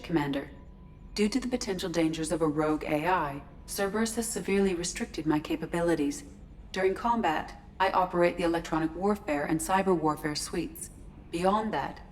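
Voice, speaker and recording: A composed female starship AI played by a woman speaks in a lowish voice with precise, detached formality. It is sci-fi game dialogue, with an audible bed of ambient noise behind it. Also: fairly quick, lightly acted. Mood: calm, neutral. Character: calm, formal female shipboard artificial intelligence. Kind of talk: scripted video game dialogue.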